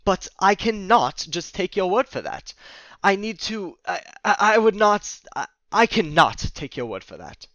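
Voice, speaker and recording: A man playing a flustered, confused, nature-loving man who speaks haltingly in formal words in an audio drama. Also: high-pitched, very animated, sharp tone, no room sound, heavily acted. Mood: agitated, insistent. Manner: halting, stammering, formal wording.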